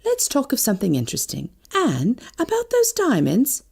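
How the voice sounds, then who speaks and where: Woman doing a sharp playful society lady, brisk and arch, very swoopy voice, audiobook chat. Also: fairly high pitch, huge pitch swings, creaky, slightly rough, voice pushed up, full character voice. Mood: brisk, concerned. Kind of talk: audiobook character dialogue.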